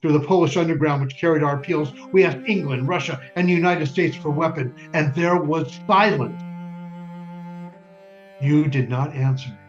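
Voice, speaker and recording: Actor as bitter male survivor-narrator, stage drama; highish voice, grave and declamatory, slightly muffled lo-fi sound. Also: natural voice. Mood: accusing, mournful. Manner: grave, deliberate, declamatory, with emphatic lists. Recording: dull, lo-fi stage recording. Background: music.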